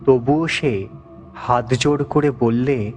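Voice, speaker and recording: Slow, suspenseful male storyteller. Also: mid-range voice, darkish tone, soft background music. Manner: dramatic, measured, suspenseful. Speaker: narrator. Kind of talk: scripted horror story narration.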